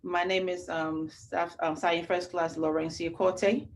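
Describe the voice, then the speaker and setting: A woman from Ghana, a sergeant and army recruiter, explaining the requirements for joining in plain, halting speech with many fillers. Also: lowish voice, flat delivery, a little hiss or hum. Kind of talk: informational talk.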